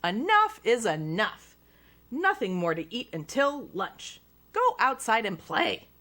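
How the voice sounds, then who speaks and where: A storybook mom, caring but firm, speaking in a matter-of-fact voice, as a woman reads her aloud. She sounds close to the reader's own warm, lively tone. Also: on the high side, slow pace, exaggerated voice.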